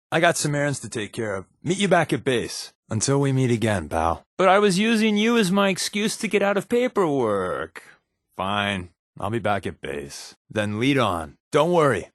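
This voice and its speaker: Guy playing wry american undercover agent sidekick from a video game, jokey casual patter, really animated pitch, dry recording. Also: dry recording, natural voice. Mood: playful, deadpan. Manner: glib, wisecracking, casual patter. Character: wry, sarcastic undercover resistance agent and companion. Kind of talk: video game companion dialogue.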